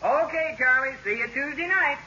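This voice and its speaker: An actor playing an excitable, easily exasperated husband with an emphatic, blustering manner in a 1940s radio sitcom. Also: male voice, very high voice, normal speed, full character voice. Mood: hearty, jovial. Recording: scratchy muffled old-time radio recording.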